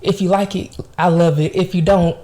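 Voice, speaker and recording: Casting: young Southern woman, low mellow voice, easygoing encouraging podcast host. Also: steady tone, normal speed. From Georgia, US. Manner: affirming, encouraging. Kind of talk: casual chat.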